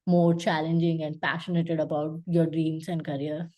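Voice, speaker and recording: A woman's voice: low voice, flat delivery, normal speed, warm tone. A young woman from India with a Gujarati-accented English, speaking earnestly as an interview guest and using many fillers. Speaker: guest, UX designer. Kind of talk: casual interview talk.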